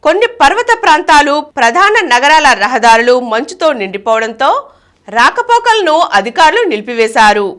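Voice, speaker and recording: A woman's voice: sits high, sing-song, moderate pace, a bit bright. A woman reading scripted news items as a news reader.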